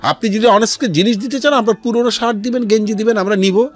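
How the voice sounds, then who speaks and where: A man's voice: high voice, quick pace, soft background music. A man with a Bangladeshi accent talking earnestly as a guest in a radio interview, explaining in a storytelling way and mixing in English phrases. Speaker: interview guest, founder of a charity resale platform. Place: radio studio.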